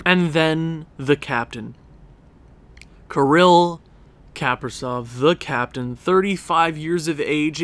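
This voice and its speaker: A man's voice: fairly high pitch, a bit bright. A young man with a stuffed-up, congested voice, chatting casually and rambling as he narrates a hockey management game for his channel. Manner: chatty, rambling, self-correcting. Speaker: gaming YouTuber. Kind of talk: casual gameplay commentary.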